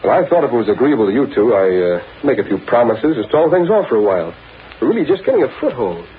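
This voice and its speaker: An actor playing a cynical, streetwise songwriter in a crooked racket, breezy and slangy, in an old-time radio drama. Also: male voice, medium pitch, big pitch swings, quick pace, natural voice. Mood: uneasy. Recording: tinny old radio broadcast, faint noise.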